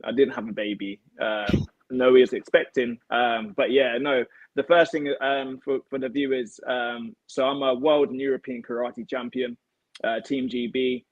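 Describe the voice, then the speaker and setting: A young man with a British accent speaks casually as a guest on a video call. His voice sits at an average pitch for a man. The sound is dry, with very little room in it. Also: fairly even intonation, normal speed, no echo, lo-fi sound. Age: young adult. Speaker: guest, elite martial arts athlete. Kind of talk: casual chat, telling own story. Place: home kitchen, indoors. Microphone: device mic on a video call.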